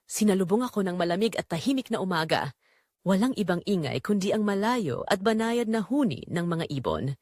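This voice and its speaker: A woman with a Filipino accent narrates a dramatic first-person romance story in a measured, expressive way. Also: mid-range voice, no echo, studio quality.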